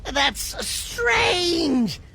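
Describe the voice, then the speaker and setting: A man's voice: very high-pitched, sing-song, very slow, vocal fry, sharp tone, full character voice. An actor voicing a deposed dragon king in a cartoon, defiant, indignant and dryly challenging.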